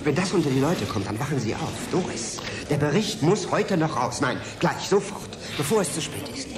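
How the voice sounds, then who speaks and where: An actor playing an urgent, determined male journalist in a German radio drama, with a husky voice. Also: on the high side, animated, moderate pace, background noise, lightly acted.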